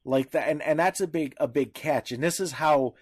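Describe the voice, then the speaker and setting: A man with a Canadian accent in a casual podcast discussion, speaking haltingly with repeated words and restarts. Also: fairly high pitch, darkish tone, husky, no room sound, clean studio sound. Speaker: podcast co-host. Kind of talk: casual discussion of media law.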